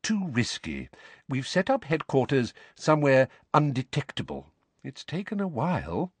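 Man playing a kindly weary wizard, calm, gentle, storybook audiobook, dry sound. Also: mid-range voice, moderate pace, slightly rough, no room sound, pitched above the performer's own voice. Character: young, weary, kindly male wizard teacher. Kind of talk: audiobook character voice.

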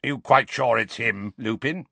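A man's voice: mid-range voice, unhurried, creaky, sharp tone, husky, no echo, full character voice. A man doing a growling grizzled old wizard. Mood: gruff. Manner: growling, irritable. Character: gruff, paranoid grizzled old male wizard. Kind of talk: audiobook character voice.

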